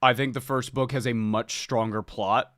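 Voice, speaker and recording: A man hosting a book club podcast in casual chat, a little sniffly, talking in an animated, expressive way. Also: mid-range voice, moderate pace, no echo, studio quality. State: slightly sniffly.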